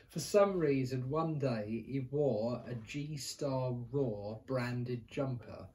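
A middle-aged man with a British accent chats casually as a co-host. His pitch is average for a man. He talks in anecdotes, seated a little way from the mic in a small room. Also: extremely slow, a little mellow, low-quality recording. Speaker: co-host reminiscing about pantomime work. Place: small bare indoor room, seated at a table.

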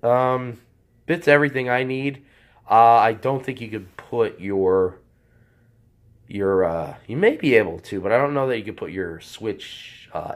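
A man with a hoarse voice from a cold, casually reviewing a product off camera while he handles it, rambling and correcting himself. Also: mid-range voice. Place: indoors at a table. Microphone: handheld camera, off-screen speaker.

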